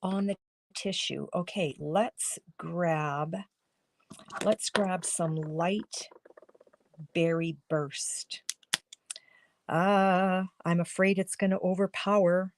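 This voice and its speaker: A woman hosting a live card-making stream, chatting casually and thinking aloud as she colors, with a slightly hoarse, froggy voice. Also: on the low side, fairly even intonation, extremely slow, long pauses, a little hiss or hum. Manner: chatty, thinks aloud while coloring, greets viewers by name. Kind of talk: casual live crafting demo talking to viewers.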